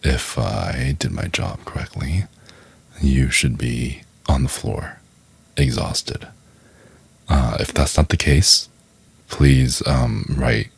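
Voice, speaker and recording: Very deep, gravelly, soft-spoken man. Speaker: ASMR video creator. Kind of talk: casual ASMR outro to viewers.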